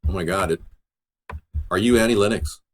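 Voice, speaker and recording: A man with a lowish voice hosts a casual livestream. He talks in a loose, rambling way, as if answering people in a chat. His delivery is informal and conversational. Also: normal speed, a little hiss or hum. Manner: rambling, replies to viewers' messages. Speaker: livestream host and amateur musician.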